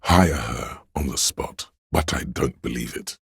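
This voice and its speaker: Extremely low bass, very husky and rasping, solemn omniscient game narrator, measured literary delivery, deliberate dramatic phrasing. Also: male voice, no room sound, studio quality, heavily acted. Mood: wry, weary. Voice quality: gravelly, husky. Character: omniscient, solemn inner-voice narrator of police camaraderie. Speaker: voice actor narrating a character's inner voice.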